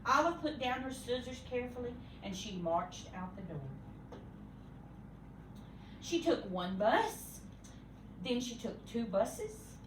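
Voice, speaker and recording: A woman reading a children's storybook aloud expressively, with theatrical, deliberate emphasis for young listeners. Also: on the high side, sing-song. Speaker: storybook reader. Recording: echoey room, distant mic, faint noise.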